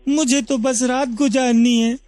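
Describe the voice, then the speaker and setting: A man voicing a simple, naive young village goatherd in an animated moral story, innocent and full of wonder. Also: very high voice, unhurried, no room sound, soft background music. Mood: puzzled wonder.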